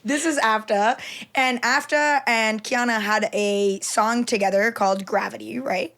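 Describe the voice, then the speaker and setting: A woman's voice: fairly high pitch, steady tone, measured pace, fairly bright tone. Bubbly young woman podcast host. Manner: bubbly, enthusiastic, rambling with restarts. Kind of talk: casual podcast interview.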